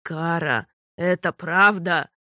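A woman voicing a stern, blustering sea king father who scolds slowly and heavily. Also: sharp tone, dry recording, clean studio sound. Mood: angry scolding. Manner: booming, scolding, drawn-out.

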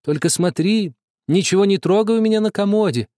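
A man's voice: sits high, a little fast, mellow, dry recording, studio quality, pitched above the performer's own voice, natural voice. A man reading aloud and voicing an angry, tearful older sister who shrieks accusations at her little brother. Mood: warning. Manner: shrieking, accusing, near tears.

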